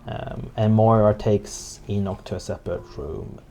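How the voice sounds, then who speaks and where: Slow-paced, wry game master. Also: deep voice, gravelly, warm tone, a little hiss or hum. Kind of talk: casual improvised tabletop narration.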